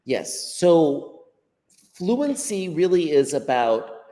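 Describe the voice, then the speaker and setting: Lively Western American man in a reverberant room. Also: fairly high pitch, very slow. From United States. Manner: thoughtful, repeats words while gathering thoughts. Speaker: guest English teacher. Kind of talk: unscripted explaining. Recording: long hall-like reverb.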